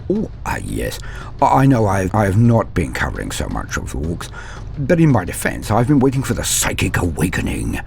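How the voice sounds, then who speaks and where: Flustered, stammering loremaster, acted by a man, very animated. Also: deep voice, vocal fry, full character voice. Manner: hesitant, stammering, muttering, theatrical. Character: flustered placating loremaster on a phone call. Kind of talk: comic one-sided phone skit.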